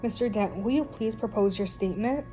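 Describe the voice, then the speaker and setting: A woman's voice: a little high, brisk, mellow, lightly acted. A woman playing a formal, stern courtroom judge in an amateur superhero radio play. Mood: formal, disbelieving. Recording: music behind the voice.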